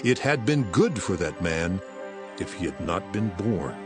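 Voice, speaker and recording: Solemn authoritative teacher, lowish voice, lively intonation, measured archaic speech, scripture drama, strong music bed. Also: male voice. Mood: grave, foreboding. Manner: solemn, measured, weighty delivery. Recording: voice over prominent music.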